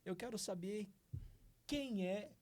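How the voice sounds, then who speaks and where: Playful man hosting, higher voice. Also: takes it slowly, a little mellow. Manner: playful, teasing, interjects often. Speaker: podcast host. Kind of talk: casual church podcast chat.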